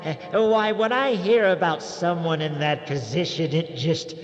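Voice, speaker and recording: An actor playing a creepy, genial old morgue attendant who tells horror tales on an old-time radio show, halting and fond of macabre puns. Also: male voice, on the high side, soft background music, close to an everyday voice. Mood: gleefully morbid. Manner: halting, chuckling, drawn-out macabre punning. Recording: dull, echoey radio recording with long reverb.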